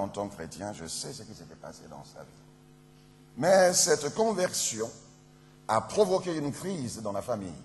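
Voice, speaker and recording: A man from Ghana, a pastor, giving a solemn testimony in a sermon. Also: fairly high pitch. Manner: slow, solemn storytelling delivery. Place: church. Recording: reverberant church hall, faint hum.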